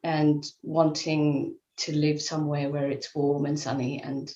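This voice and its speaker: A middle-aged woman from England speaks in a fairly low voice, thoughtful and conversational, often circling back mid-sentence. The line is slightly dull, and her voice sits in a roomy, echoey space. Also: little pitch movement, a little slow. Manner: reflective, with frequent restarts and soft laughs. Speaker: author and life coach guest. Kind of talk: conversational interview answers. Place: at home in Spain, remote call.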